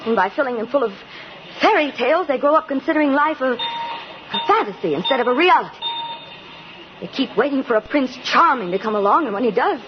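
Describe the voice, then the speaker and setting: A firm, crisp career mother in a vintage radio drama. Her voice is fairly high with very animated intonation, on a muffled old recording. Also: fairly quick. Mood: firm, earnest. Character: brisk, no-nonsense businesswoman and protective mother. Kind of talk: 1950s radio drama acting. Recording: dull, noisy 1950 radio recording.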